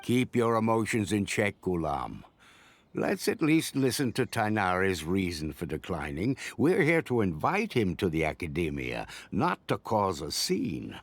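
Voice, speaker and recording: A composed, commanding older sage for a game. He speaks formally in a deep voice with dramatic rises and falls, smoothly withholding. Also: wildly swooping pitch, unhurried, no echo, full character voice. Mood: composed, stern. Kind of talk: video game voice acting.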